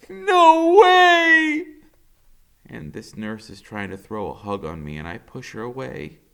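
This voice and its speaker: A man, a teacher, reading a novel aloud with expressive first-person storytelling. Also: fairly low pitch, moderate pace, gravelly.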